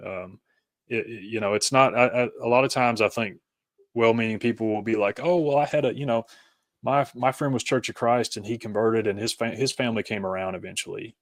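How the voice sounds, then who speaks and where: A man speaking as an interview guest, reflective and conversational, thinking aloud in halting, thoughtful phrases. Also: on the low side, steady tone, no room sound.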